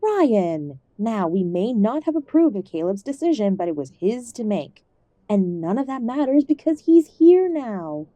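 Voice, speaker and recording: A woman acting an ailing older mother in an audio drama, gentle and affectionate, old-fashioned and stubbornly optimistic, fond of endearments. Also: mid-range voice, sing-song, measured pace, dry recording. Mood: fond, chiding. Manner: gentle, fussing, uses endearments.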